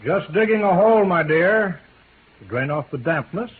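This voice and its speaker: Placating husband, muffled old radio. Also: male voice, sits high, lively intonation, moderate pace, breathy edge. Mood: quietly scheming. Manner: soothing, genteel, evasive. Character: placating, henpecked husband, quietly scheming. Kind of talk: 1950s radio drama acting. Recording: vintage radio, lo-fi narrow band, faint noise.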